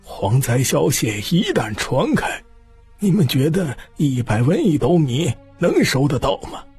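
男人演绎的威严精明的老族长，一字一顿. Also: male voice, on the high side, big pitch swings, darkish tone, breathy edge, dry recording, soft background music, voice pushed up, full character voice. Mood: firm, weighty. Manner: slow, emphatic, weighty delivery. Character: stern, shrewd old clan patriarch, commanding.

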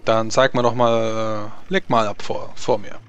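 A man's voice: lowish voice, little pitch movement, normal speed, clear, resonant tone, lo-fi sound, lightly acted. A man voicing a suspicious, wary street thug who questions and challenges people in a tabletop game. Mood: suspicious, challenging.

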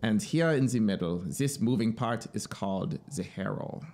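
A man's voice: fairly low pitch, lively intonation, normal speed, background noise. A man voicing an eager, talkative military officer in a dramatic storybook reading, insistent and explanatory. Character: eager, talkative male military officer, devoted to his machine. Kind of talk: dramatic audiobook character reading.

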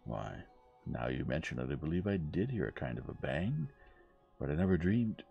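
Man playing a blustering, gravelly, smooth-tongued gentleman suspect. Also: sits low, sing-song, moderate pace, muffled recording, faint music behind, full character voice. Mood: feigned surprise. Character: smooth, evasive middle-aged gentleman protesting his innocence.

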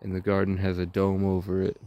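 A man in his twenties giving a slow, rambling monologue in short, halting phrases while walking outdoors. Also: low-pitched, completely flat delivery, mellow. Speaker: vlogger. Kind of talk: slow rambling monologue, ASMR-style walk.

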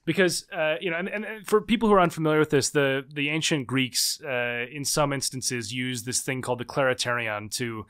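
A man in his thirties, a book author, explaining his ideas in a podcast interview with lively restarts. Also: medium pitch, clear, resonant tone. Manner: animated, fast explaining with restarts. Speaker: guest author.

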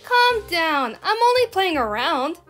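A woman's voice: extremely high pitch, very animated, a little slow, a bit bright, faint music behind, heavily acted. A woman voicing a flirty, teasing female cartoon hedgehog in a comic dub. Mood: coy, teasing. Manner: coy, teasing.

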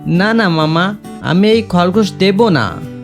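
A man's voice: sits high, slow, faint music behind. A man playing a clever, scheming young village son in a cartoon moral tale, speaking earnestly and persuasively. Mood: sly, persuasive.

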